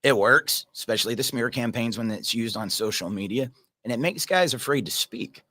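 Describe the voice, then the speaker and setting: A man's voice: mid-range voice, expressive, moderate pace, sharp tone. A man hosting a casual livestream, reading viewer comments and talking earnestly in a rambling way, addressing viewers by name.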